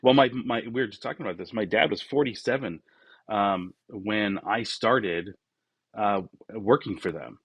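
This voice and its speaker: Low-pitched man, close dry sound. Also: a little slow, husky, dry recording. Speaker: podcast guest, business founder. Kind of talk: casual conversational interview.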